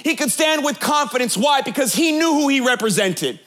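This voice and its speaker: A man's voice: very high-pitched, lively intonation, normal speed, a bit bright, soft background music. A man in his late thirties preaching a sermon as a pastor, conversational and joking with the congregation, building points through rhetorical repetition. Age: 30s. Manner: conversational preaching with jokes and direct address; rhetorical repetition, sometimes sing-song emphasis.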